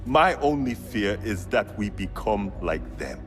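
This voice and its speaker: A man's voice: fairly low pitch, sing-song, measured pace, over music. An actor playing a principled, sombre male rebel in a video game. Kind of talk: dramatic video game acting.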